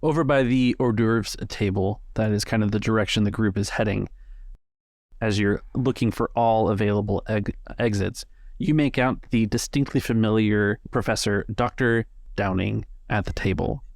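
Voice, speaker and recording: A man's voice: lowish voice, normal speed, no echo, clean studio sound. A man narrating a tabletop roleplaying game as game master.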